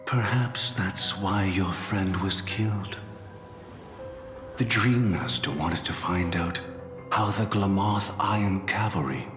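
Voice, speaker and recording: Brooding fantasy-game man: slow, measured, solemn, theatrical; heavy reverb with music underneath. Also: deep voice. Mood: calm, serious. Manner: grave, deliberate, theatrical delivery. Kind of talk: dramatic video game voice acting. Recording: echoey hall reverb, music behind.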